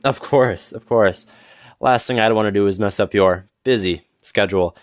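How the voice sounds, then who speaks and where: A man playing a smug, flirtatious businessman in an audio roleplay, teasing and smooth-talking with mock politeness. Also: lowish voice, moderate pace, no room sound, clean studio sound, close to an everyday voice. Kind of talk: scripted audio roleplay acting.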